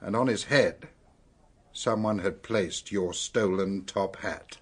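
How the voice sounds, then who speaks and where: Low-voiced, sombre British police superintendent. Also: male voice, takes it slowly, husky. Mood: grave. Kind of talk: radio drama acting.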